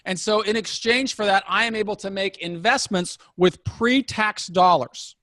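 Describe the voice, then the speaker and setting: High-voiced inquisitive man in his fifties. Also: moderate pace, sharp tone, dry recording, clean studio sound. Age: 50s. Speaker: interviewer asking about retirement investing. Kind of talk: conversational interview.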